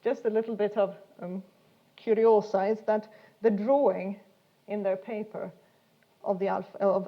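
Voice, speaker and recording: A woman's voice: medium pitch, highs cut off. A woman giving an informal science lecture in an explanatory, hesitant way, often repeating words as she goes. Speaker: speaker giving a talk.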